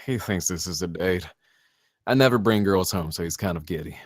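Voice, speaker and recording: An actor playing an embarrassed, low-key grown son on a date, understated and sheepish, in a script read. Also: male voice, fairly quick, no echo, studio quality. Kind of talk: table read of a film script.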